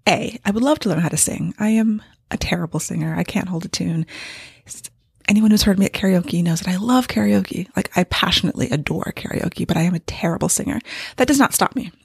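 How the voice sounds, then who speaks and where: A woman with a tired, gravelly voice, pitched a bit low and husky from strain, rambles candidly through a writing update. She talks casually at home, and the sound is close and dry. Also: a little fast, no room sound. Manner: candid and rambling, with light laughs. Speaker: novelist giving a writing update. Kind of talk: casual vlog monologue. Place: home with hardwood floors.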